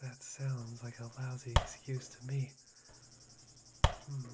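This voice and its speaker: A performer voicing an unhinged, self-deprecating young man obsessed with hope, in whispered, breathy and fawning ASMR roleplay. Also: medium pitch, little pitch movement, slow, very noisy, lightly acted. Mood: taunting, unhinged. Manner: breathy, fawning, giggly, softly menacing.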